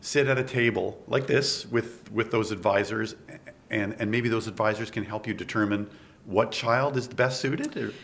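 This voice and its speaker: Guy hosting a podcast, kinda gravelly, chatty and rambly. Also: lowish voice, moderate pace, fairly bright tone. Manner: chatty, thinks aloud with asides. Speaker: podcast host. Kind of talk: casual interview. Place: studio table.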